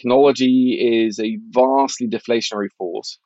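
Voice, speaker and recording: A man's voice: mid-range voice, steady tone, normal speed, warm tone, dry recording, dull audio. A middle-aged man from Norway talking casually and analytically as an interview guest, blunt and opinionated in an informal way. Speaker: financial journalist and author, interview guest.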